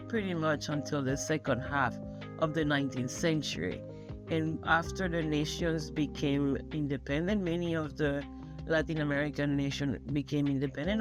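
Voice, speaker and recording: Emphatic woman, music underneath. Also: lowish voice. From Venezuela. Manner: emphatic, with many restarts. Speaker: guest scholar. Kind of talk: interview answer.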